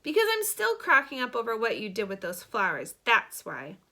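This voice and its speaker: Woman playing a bright, confident schoolgirl. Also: a little high, wildly swooping pitch, moderate pace, exaggerated voice. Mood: warm. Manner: casual, direct. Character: confident, friendly, frank schoolgirl.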